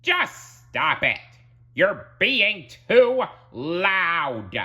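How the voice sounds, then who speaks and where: A man's voice: high-pitched, sing-song, drawn-out words, bright, forceful tone, slightly rough, voice pushed up, exaggerated voice. A man voicing a grumpy, snooty cartoon neighbour with a drawling, irritated manner. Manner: drawling, snarling.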